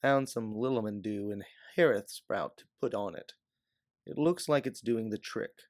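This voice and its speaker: Audiobook role: cool-headed masked male rescuer done by a man, matter-of-fact, low register, dry close sound. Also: moderate pace, darkish tone, dry recording, close to an everyday voice. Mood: calm, reassuring.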